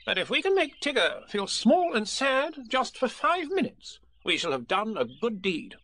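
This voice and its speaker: A man's voice: wildly swooping pitch, sharp tone, full character voice. A man reading a storybook aloud, voicing a fussy, self-important male rabbit. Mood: bossy. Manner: brisk, self-important, high-pitched.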